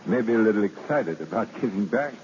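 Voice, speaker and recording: An actor playing a doting, eager gentleman in an old radio drama, affectionate and brisk in his talk. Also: fairly low pitch, expressive, close to an everyday voice. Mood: fond. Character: doting, eager wealthy gentleman, devoted fiancé. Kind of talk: 1930s-40s radio drama acting. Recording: dull old radio recording, faint noise.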